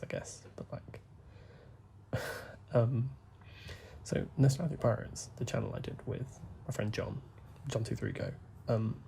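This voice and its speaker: A young man, talking softly and rambling as he plays a game, full of fillers and asides. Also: mid-range voice, vocal fry, slight background noise. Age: young adult. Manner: soft, deliberately hushed talk with frequent fillers. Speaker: gaming YouTuber. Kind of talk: rambling gameplay commentary.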